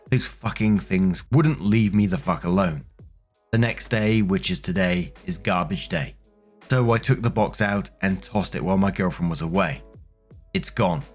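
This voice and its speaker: Guy with a lowish voice reading internet stories, chatty, talks right to listeners, music underneath. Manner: conversational, addressing listeners directly. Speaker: host narrator of online stories. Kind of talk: reading stories aloud with casual commentary.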